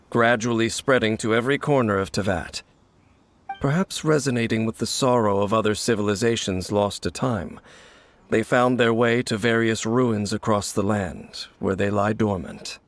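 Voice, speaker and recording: A man playing an aloof, world-weary young swordsman in an anime video game, speaking gravely and with restraint. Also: fairly low pitch, moderate pace, close to an everyday voice. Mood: grave explaining.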